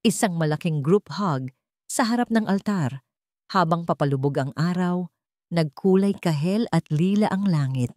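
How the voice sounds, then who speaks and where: A woman's voice: fairly low pitch, lively intonation, normal speed, warm tone, no echo, studio quality. A woman reading a scripted dramatic love story as its narrator.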